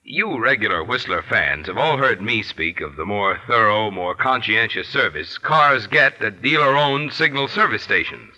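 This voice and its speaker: A man's voice: lowish voice, expressive, moderate pace, hardly any pauses, bright, forceful tone. A man announcing a 1940s radio show and its sponsor in a scripted style. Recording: vintage radio broadcast with long reverb.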